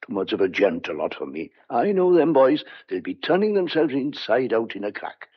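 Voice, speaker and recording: Shrewd old country farmhand, muffled. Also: male voice, medium pitch, sing-song, normal speed, breathy edge. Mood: sly, reassuring. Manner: folksy dialect, colourful country sayings. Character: shrewd, stubborn old rural farmhand, loyal and secretive. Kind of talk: old-fashioned radio thriller acting. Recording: dull, lo-fi radio drama sound.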